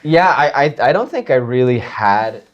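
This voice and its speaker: A man from Iceland, a guitarist and composer, chatting in an interview in a relaxed, rambling way with frequent laughs. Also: medium pitch, moderate pace, muffled recording. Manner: relaxed, rambling, laughs often, many fillers. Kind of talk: casual interview chat.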